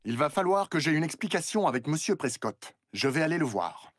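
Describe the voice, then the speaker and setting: A man's voice: a little high, big pitch swings, fairly quick. A man in a French cartoon dub playing a firm, upright, fatherly emergency chief, with music behind him.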